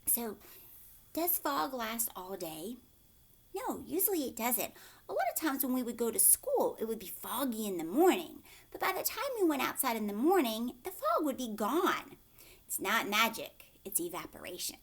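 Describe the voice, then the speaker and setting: A woman in her thirties reading aloud and explaining to young children in an expressive way, asking simple questions, recorded at home on a webcam. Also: a little high, moderate pace. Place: living room at home, indoors. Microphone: webcam or laptop at arm's length.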